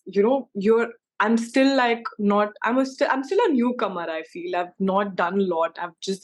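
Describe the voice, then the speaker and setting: A young woman with an Indian accent, an actress speaking casually and emphatically in an interview, often using "like" and "you know". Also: medium pitch. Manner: informal, emphatic, peppered with 'like' and 'you know'.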